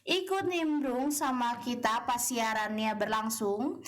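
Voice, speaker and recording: A young woman co-hosting a campus radio show, chatting casually and agreeably with quick back-and-forth. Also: fairly high pitch. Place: radio studio.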